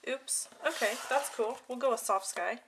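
A woman's voice: fairly high pitch, normal speed. A woman casually narrating a hands-on craft demonstration as its host, with informal, chatty phrasing. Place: indoor craft desk. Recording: clear background noise with clicking.

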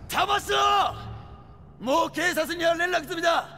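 An actor playing a hot-blooded, loyal young yakuza underling in a video game cutscene, urgent and earnest. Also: male voice, very high voice, measured pace, sharp tone, raised voice, a little hiss or hum, full character voice. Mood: defiant anger. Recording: reverberant, music behind.

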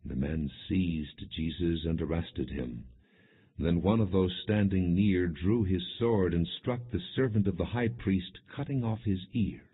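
A low-pitched man narrates scripture in a scripted audiobook style, solemn and measured. His voice has a husky grain, and the recording is muffled and thin, like a phone line. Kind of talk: scripted scripture narration, non-dramatized reading. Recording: muffled, narrow phone-like sound.

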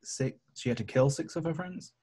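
Casual male gamer with husky voice. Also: a little high, normal speed, dark tone. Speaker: tabletop game player. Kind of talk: casual game-table chat. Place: indoors, on a video call.

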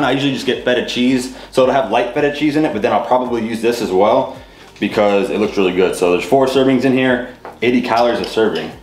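A young man's mid-range voice rambles casually through a grocery haul, stopping often for fillers. He is recorded on a camera mic in a bare home kitchen. The room echoes, and steady background noise sits under everything he says. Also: medium pitch. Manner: loose and rambling, many fillers like "uh" and "like". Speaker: fitness vlogger.

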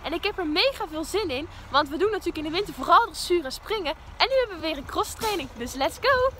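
Very high-voiced young woman vlogging. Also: lively intonation, fast, fairly bright tone. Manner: upbeat and chatty. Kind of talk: casual vlog talk to camera. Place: outdoors at an equestrian centre. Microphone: phone at arm's length.

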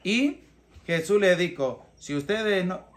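A man's voice: sits high, lively intonation, takes it slowly. A man in his thirties with a Venezuelan accent, a priest giving a plain, colloquial homily in an emphatic, teaching manner with rhetorical questions. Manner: emphatic, didactic, asks questions and answers them himself. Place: small rustic wooden room or shelter. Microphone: clip-on lapel mic.

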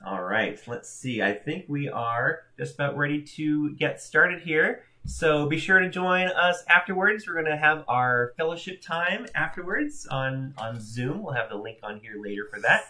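A man's voice: fairly high pitch, moderate pace, few pauses, bright, forceful tone. A man in his thirties hosting a church livestream from home, chatting cheerfully and greeting viewers by name in a loose, rambling way. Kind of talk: casual welcome chat. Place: home room in front of a backdrop.

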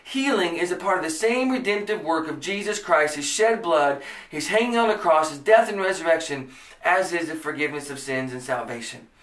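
A man's voice: fairly high pitch, brisk, fairly bright tone, reverberant, far from the mic. A man in his thirties with an American accent, preaching a podcast sermon with earnest emphasis. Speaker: pastor. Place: small indoor room before a church banner.

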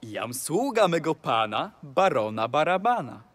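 A man playing a sly, boastful talking tomcat servant in a rhymed audio fairy tale, with theatrical, deliberate delivery. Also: fairly high pitch, sing-song, fairly bright tone, heavily acted. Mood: proud, courtly.

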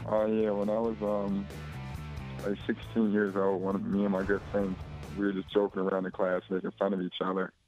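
A man's voice: on the low side, monotone, fairly quick, darkish tone, soft background music. A man in his twenties from Chicago, a basketball player talking casually by phone, laid-back and rambling with lots of fillers. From Chicago, USA. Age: 20s. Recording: dull phone line.

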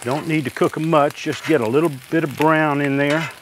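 Older male host, relaxed homespun cooking demo, clip mic, loud sizzling griddle behind. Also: on the high side, brisk, background noise. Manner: easygoing, homespun, talks while working at the griddle. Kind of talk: step-by-step cooking demonstration. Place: outdoor covered deck by a griddle.

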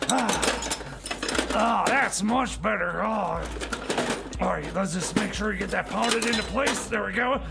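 High-pitched, stammering, scatterbrained handyman played by a man. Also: a little slow, gravelly, full character voice. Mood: busy, muttering. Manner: stammering, rambling, repeats himself. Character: scatterbrained, excitable male time-travelling handyman sidekick. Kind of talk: improvised comedy radio theater. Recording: loud background noise.

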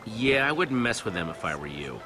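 An actor playing a plucky young adventurer hero in a video game, casual and direct. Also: male voice, fairly low pitch, sing-song, a little fast. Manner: casual, questioning. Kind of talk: animated game voice acting. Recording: loud music behind the dialogue.

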